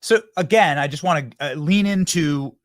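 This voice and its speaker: A man hosting a political talk show, interviewing a guest in a rapid, teasing manner. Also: a little high, dry recording, studio quality. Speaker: show host.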